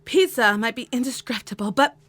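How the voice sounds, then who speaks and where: A fussy, doting mother of a grown son, acted out by a woman in a comic audio play. Her voice is high and bright, and she chatters and nags with an exasperated edge. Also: lively intonation, brisk. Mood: nagging. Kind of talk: comic audio drama acting.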